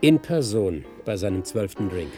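A sardonic, ill-humoured private detective of middle age in a radio drama. His pitch swings widely as he tosses out rude, weary wisecracks, with music playing behind him. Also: very animated, moderate pace. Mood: irritated, sarcastic. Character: jaded, ill-humoured hard-drinking male private detective. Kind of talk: German radio play acting. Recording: studio radio play with music.

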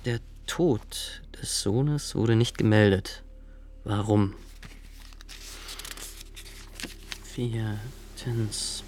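An actor playing an earnest, zealous young inspector in a radio drama, speaking in a clipped, formal, deliberate way. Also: male voice, on the low side, a little mellow, a little hiss or hum. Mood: suspicious, brooding. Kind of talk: radio drama, dictated case log monologue.

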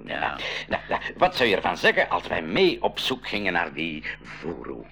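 An actor playing an eager uncle who leads expeditions in a radio play for schools. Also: male voice, sits low, huge pitch swings, moderate pace, vocal fry, pushed and bright, husky, heavily acted. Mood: eager, decisive. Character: Oom Januari, eager adult uncle leading an expedition.

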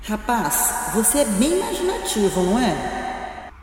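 A woman playing the ghost of an older wronged woman in an audio drama, haughty, measured and cryptic. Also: mid-range voice, big pitch swings. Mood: haughty, wry. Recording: very echoey reverb, noisy ambience.

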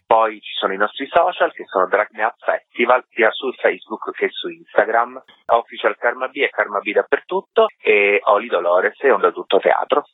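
A man's voice: fairly low pitch, husky, no room sound. A man speaking conversationally as a festival guest in a radio interview, heard over the telephone. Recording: thin, dry telephone line.

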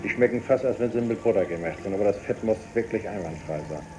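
A man's voice: lowish voice, quick pace, loud music behind the voice. A man giving his opinion casually and emphatically, repeating himself and ending phrases on a questioning tag. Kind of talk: casual spoken opinion in an audio collage. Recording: noisy old cassette tape recording.

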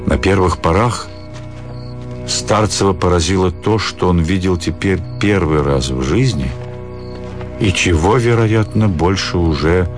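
A man's voice: low-pitched, unhurried, music in the background. A man reading a classic short story as the narrator of a radio audio play.

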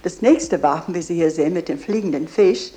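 A woman's voice: fairly low pitch, a little fast, mellow. A woman giving guided tour commentary, explaining as she goes in slightly unidiomatic phrasing.

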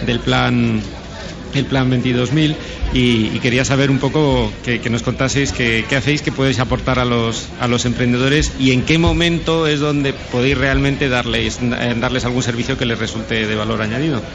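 A man's voice: medium pitch, steady tone, moderate pace, vocal fry, background noise. A man co-hosting a live interview, putting a long question with hesitant restarts. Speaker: radio co-host. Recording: dull sound, music behind.

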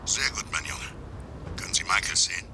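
Gruff county sheriff in a radio play, creaky voice, barks terse orders, bit of noise behind. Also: male voice, low-pitched, lively intonation, brisk, bright, forceful tone, heavily acted. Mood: controlled, focused. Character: commanding, shrewd male county sheriff. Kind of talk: German radio drama acting.

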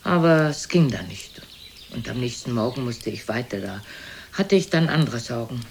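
A woman's voice: very deep voice, lively intonation, moderate pace, creaky, a little mellow, full character voice. An aged, imperious mother in a German radio play. She speaks bluntly and theatrically, probing and belittling her son with cool haughtiness. The old recording carries faint noise. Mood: coolly reminiscing, belittling.

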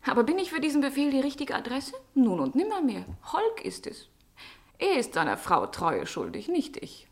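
Young witty lady-in-waiting, radio drama, sharp tongue, teasing, really animated intonation. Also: sits high, normal speed, full character voice. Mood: coy, defiant. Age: young adult. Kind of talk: German literary radio drama acting.

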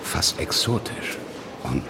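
An actor playing a blunt, worldly lawyer in his late twenties, speaking in a measured, dry way in a radio drama. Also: noisy background, natural voice. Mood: confiding, musing.

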